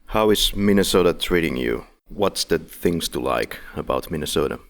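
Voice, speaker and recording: A man's voice: rumbling low, lively intonation, moderate pace, creaky. A man hosting a casual film podcast, making playful, jokey introductions. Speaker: podcast host.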